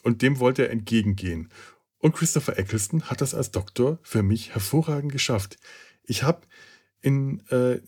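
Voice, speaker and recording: A German man talks through his opinions in a casual podcast review. He stumbles, restarts words and fills pauses with hesitations. His voice sits at an ordinary middle pitch for a man. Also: expressive, darkish tone, breathy edge. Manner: halting, many restarts and fillers. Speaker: podcast host.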